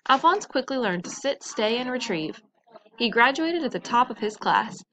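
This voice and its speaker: A woman's voice: a little high, sing-song, moderate pace, a bit bright, poor audio. A woman reading a children's story aloud in a narrating style. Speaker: storybook reader.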